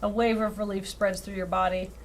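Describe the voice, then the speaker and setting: A woman reads a visual novel aloud on a live game stream. Her delivery is expressive and casual, with wry little asides at her own expense. Her voice sits at an average female pitch, over some background noise. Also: brisk. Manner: expressive reading broken by wry, self-deprecating asides. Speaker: streamer reading out a dating sim visual novel. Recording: clearly audible background noise.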